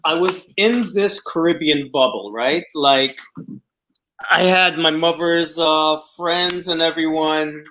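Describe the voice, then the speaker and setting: A man's voice: on the high side, takes it slowly, bright, forceful tone, poor audio. A man from New York hosting a casual video call conversation, asking questions with hesitant phrasing. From New York City. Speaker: host.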